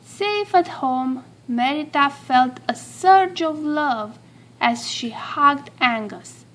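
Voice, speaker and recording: A woman reading a children's story aloud in an expressive storytelling manner. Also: sits high, slow pace, poor audio. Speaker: storybook reader.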